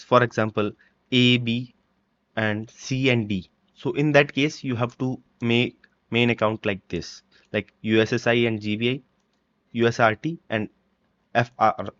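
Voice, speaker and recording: A man presenting a step-by-step software tutorial in halting short phrases, with dull, lo-fi microphone sound. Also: medium pitch, no echo. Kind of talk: step-by-step screen-recording walkthrough.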